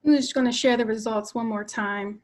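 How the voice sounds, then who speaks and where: A woman's voice: a little high, fairly even intonation, brisk, a little mellow. A woman facilitating a discussion, putting prepared remarks and questions to a guest. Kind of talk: moderating a discussion, partly reading prepared questions.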